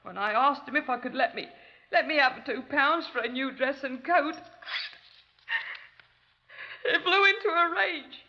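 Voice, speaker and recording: An actress playing a poor, frightened wife in 1950s radio drama, speaking in a plaintive, tearful way. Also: female voice, sits high, animated, unhurried, pauses often. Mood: tearful, distressed. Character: poor, shabby, frightened wife complaining to police. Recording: muffled 1950s radio recording.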